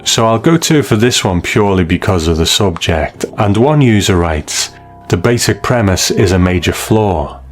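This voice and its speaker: A man's voice: sits low, lively intonation, normal speed. A man hosting a reflective, opinionated commentary on classic television in a conversational style. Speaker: host reviewing a classic TV episode. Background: faint music.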